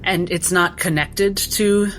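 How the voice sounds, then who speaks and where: Low-pitched woman in casual game talk. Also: fairly even intonation, slow pace, soft background music. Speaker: tabletop game player.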